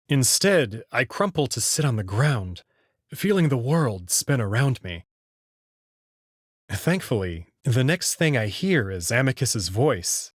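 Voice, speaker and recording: Husky, expressive male narrator. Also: mid-range voice, no echo, clean studio sound. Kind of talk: scripted first-person visual novel narration.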